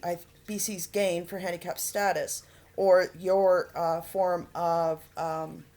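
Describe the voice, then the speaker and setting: Halting earnest woman making an appeal. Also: fairly low pitch, darkish tone. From Alberta, Canada. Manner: halting, many fillers and pauses; earnest, pleading. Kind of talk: unscripted appeal to camera.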